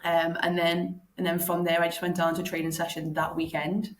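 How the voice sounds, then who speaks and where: Low, flat-voiced Liverpool woman. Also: moderate pace, a little hiss or hum. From Liverpool, England. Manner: chatty, many restarts and fillers. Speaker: guest, basketball player. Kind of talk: casual interview chat. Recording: echoey room.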